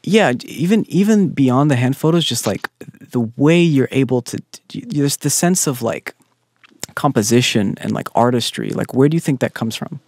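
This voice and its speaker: A man's voice: mid-range voice, expressive, moderate pace, darkish tone, no echo. A man hosting an interview, asking earnest and enthusiastic questions in casual chat. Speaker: podcast host.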